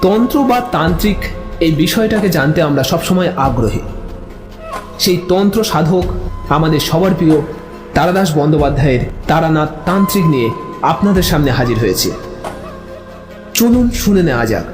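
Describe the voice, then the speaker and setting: A man's voice: a little high, lively intonation, moderate pace, long pauses. A man presenting a scripted introduction to a story programme. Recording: echoey room with music bed.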